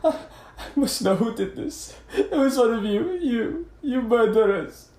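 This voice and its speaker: A man playing a flirtatious, dramatic gentleman in an amateur puppet show, speaking in a halting, flowery manner. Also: very high voice, expressive, heavily acted. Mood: anguished, accusing. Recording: echoey room with faint noise.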